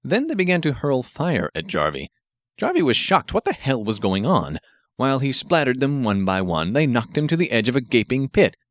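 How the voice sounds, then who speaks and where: A man's voice: medium pitch, wildly swooping pitch, normal speed, dry recording, clean studio sound. A man narrating an action story as a scripted audiobook reading.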